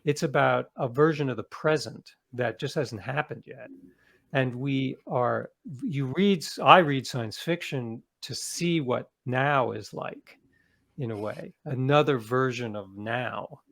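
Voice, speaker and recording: A man's voice: mid-range voice, slow, gravelly, fairly bright tone. A man speaking as an interviewed author, explaining ideas in a reflective, conversational way with small qualifying asides.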